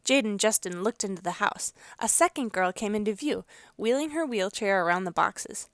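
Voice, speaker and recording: A woman's voice: medium pitch, animated, normal speed, a bit bright, no echo. A woman narrating a children's picture book as a storyteller, reading expressively. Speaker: read-aloud storyteller.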